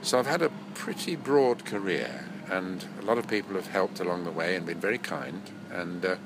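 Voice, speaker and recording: Older man, deep and nasal with a cold. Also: moderate pace, noisy background. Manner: rambling, self-correcting, chuckling. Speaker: actor and voice-over artist. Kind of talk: relaxed interview reminiscing.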